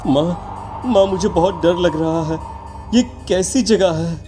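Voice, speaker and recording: Woman acting a mellow-voiced frightened little boy. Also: on the low side, slow pace, faint music behind. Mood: scared, uneasy. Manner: fearful, pleading, repetitive. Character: frightened young boy clinging to his mother. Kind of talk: horror cartoon acting.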